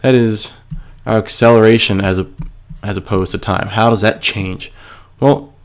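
Male teacher, stuffy with a cold, low and gravelly, patient and methodical. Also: normal speed. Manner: patient, step-by-step, asks and answers himself. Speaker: physics teacher. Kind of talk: screencast lesson explaining a worksheet.

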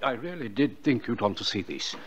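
A man's voice: mid-range voice, animated, brisk, highs cut off, very noisy, natural voice. A man playing a puzzled, proper family doctor in a radio drama, speaking politely and with hesitation. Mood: puzzled, tactful.

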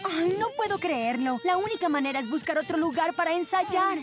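Organized cartoon teen, very high pitched yet firm and bossy; music behind. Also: female voice, lively intonation, brisk. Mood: annoyed. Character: bossy, organized teenage schoolgirl. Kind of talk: cartoon dubbing.

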